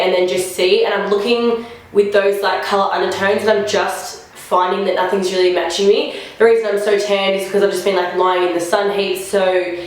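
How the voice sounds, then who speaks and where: A young woman vlogger chatting casually through a makeup try-on, sounding a bit nasal from a flu and filling her talk with "like". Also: medium pitch. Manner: chatty, rambling, lots of "like" fillers. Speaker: beauty vlogger. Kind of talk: casual makeup first-impressions chat. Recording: very echoey room.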